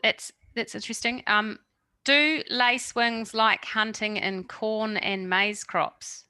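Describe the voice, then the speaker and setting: A woman's voice: fairly high pitch, fairly even intonation, slow, sharp tone, clean tone, dry recording. A woman with a New Zealand accent, moderating an online Q&A and putting questions to a presenter, encouraging and polite, often saying "excellent". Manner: encouraging, apologetic, repeats "excellent". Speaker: workshop moderator.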